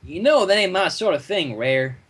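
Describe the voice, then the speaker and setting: Stubborn country cartoon mare, male reader, folksy and reluctant, with a husky edge. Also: high-pitched, lively intonation, normal speed, fairly bright tone, exaggerated voice. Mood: wary. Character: stubborn, grumbling female country pony farmer.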